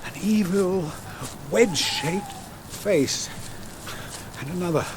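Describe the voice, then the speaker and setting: A reserved English father in a radio drama. He speaks slowly and guardedly, a haunted, evasive writer, with clear noise around his voice. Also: high-pitched. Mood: terrified, hunted. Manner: reluctant, guarded. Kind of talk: radio play acting.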